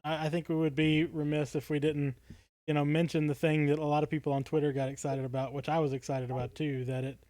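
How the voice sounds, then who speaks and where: Guy on a podcast, voice a bit high, thinking things through casually, very dry sound. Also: flat delivery, fast, a little mellow, dry recording. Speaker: podcast co-host. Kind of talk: casual discussion.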